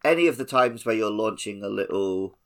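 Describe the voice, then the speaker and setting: A man's voice: lowish voice, animated, brisk, fairly bright tone. A man co-hosting a podcast, chatting casually about a video game with an easygoing manner and jokey asides. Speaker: podcast co-host.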